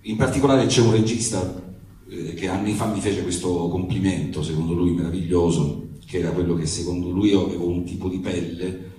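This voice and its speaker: Deep, hesitant man in echoey room. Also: fairly even intonation, a little fast, warm tone. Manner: hesitant, repeats words. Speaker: actor introducing a reading. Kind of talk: informal, rambling introduction to an audience. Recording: echoey room, distant mic, faint noise.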